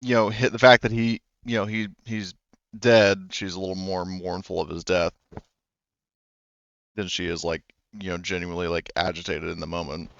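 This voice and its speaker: A man's voice: low-pitched, little pitch movement, normal speed, gravelly, a bit bright, highs cut off. A man running a tabletop roleplaying game as game master, chatting casually in a rambling, conversational way.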